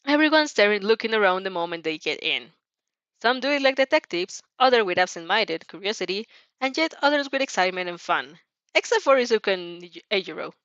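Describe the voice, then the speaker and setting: A woman's voice: medium pitch, a bit bright, no room sound, muffled recording. A woman reading fan fiction as an amateur, narrating expressively and sometimes stumbling over or repeating words. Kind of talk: expressive story narration with character voices.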